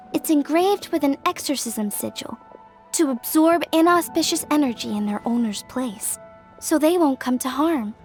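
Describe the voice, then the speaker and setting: A woman voicing a friendly, candid young craftswoman in a video game, with a breezy, expressive, conversational delivery. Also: sits high. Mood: warm, reflective. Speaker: game character voice actor. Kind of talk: scripted video game dialogue.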